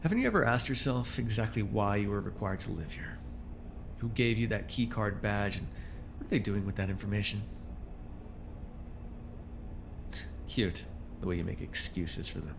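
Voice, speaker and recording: A man's voice: lowish voice, expressive, brisk, creaky, background noise. A man playing a smug, menacing male supervillain in an ASMR roleplay, taunting and commanding the listener with condescension. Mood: sly, menacing.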